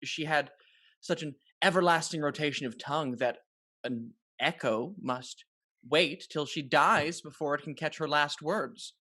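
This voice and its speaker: An actor playing a self-satisfied, chattering foppish wit in a Restoration stage comedy, gossipy and showy. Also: male voice, on the high side, measured pace, bright, forceful tone. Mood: gleefully witty. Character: chattering, foppish society wit, pleased with himself.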